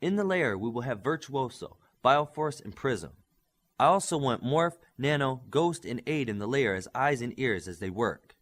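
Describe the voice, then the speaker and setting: A man's voice: medium pitch, lively intonation, moderate pace, a bit bright. A man voicing a young, earnest superhero squad leader in an audiobook, giving orders in an emphatic briefing style. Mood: commanding. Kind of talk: audiobook character dialogue.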